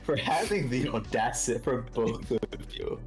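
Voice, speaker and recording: A man's voice: mid-range voice, expressive, normal speed, soft background music. A man in casual stream chat, speaking with playful mock seriousness. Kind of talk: joking chat.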